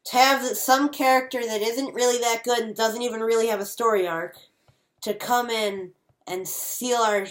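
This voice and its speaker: A man's voice: extremely high pitch, fairly even intonation, moderate pace, fairly bright tone. Young man chatting casually about films. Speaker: co-host of a movie review show. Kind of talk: casual chat.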